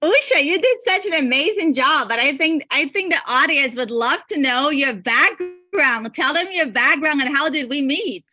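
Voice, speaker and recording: Woman webinar host, very bright high voice, upbeat and encouraging. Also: expressive, normal speed, hardly any pauses, no room sound, faint music behind. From Guyana. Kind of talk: informal webinar hosting.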